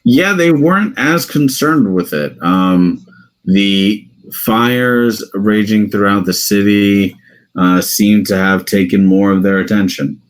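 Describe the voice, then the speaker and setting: A man's voice: lowish voice, slow pace. A man running a tabletop game as dungeon master, chatting casually with his players.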